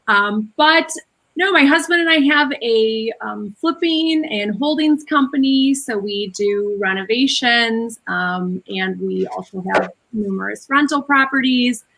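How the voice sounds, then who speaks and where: Bright-voiced woman with a Wisconsin accent. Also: a little high, measured pace, gravelly, slight background noise, poor audio. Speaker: guest, productivity coach. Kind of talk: casual video chat.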